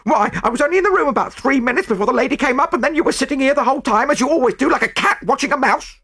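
A man's voice: extremely high pitch, racing, sharp tone, voice pushed up, heavily acted. A man voicing an indignant, combative young English chambermaid in a storybook reading, with working-class turns of phrase. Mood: angry, defiant.

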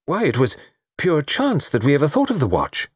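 A man voicing an earnest, easily astonished gentleman in an audiobook, humble yet a little self-important. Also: on the high side, wildly swooping pitch, moderate pace, husky, no echo, clean studio sound, voice pushed up, heavily acted. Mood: protesting. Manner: exclaiming, earnest. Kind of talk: audiobook character dialogue.

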